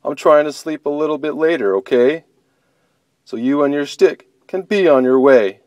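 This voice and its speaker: A man's voice: fairly high pitch, normal speed, a little mellow, dry recording, pitched above the performer's own voice, close to an everyday voice. A man doing Bobo, a grumpy sleepy bear. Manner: grumbling, blustery.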